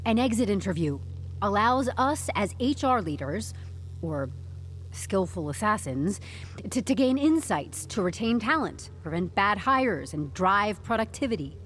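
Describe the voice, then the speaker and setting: An actress playing a nervy, sarcastic young-to-the-job HR employee held captive, flustered and wisecracking, in a comedic audio drama. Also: female voice, mid-range voice, sing-song, a little slow, a bit bright, slight background noise. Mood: nervous, stalling.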